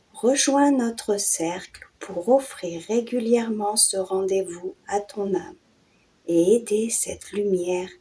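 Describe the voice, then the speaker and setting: A woman guiding a yoga nidra and hypnosis meditation in a soothing, hushed way, in short phrases with breathy endings and long pauses. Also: mid-range voice. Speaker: meditation guide and channel host.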